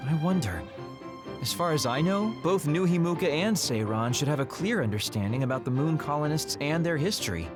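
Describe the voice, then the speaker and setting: A man's voice: fairly high pitch, lively intonation, a little fast, over music, close to an everyday voice. A man voicing a cynical, guarded young man in an English video game dub, speaking in a wry, blunt way.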